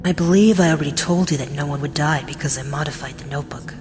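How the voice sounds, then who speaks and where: A woman in an anime fan dub playing a calm, coolly superior young male detective genius, speaking in a measured, deliberate way. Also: low-pitched, slight background noise, lightly acted. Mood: cool, assured.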